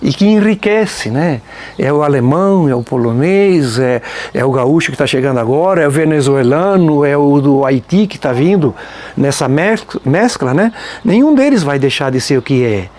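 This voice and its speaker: A man's voice: fairly high pitch, animated, darkish tone. Man with a strong Nova Trento accent. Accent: Nova Trento, Santa Catarina. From Nova Trento, Santa Catarina, Brazil. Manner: reflective, unhurried, frequent "né?" tags. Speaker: folk band musician. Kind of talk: documentary interview.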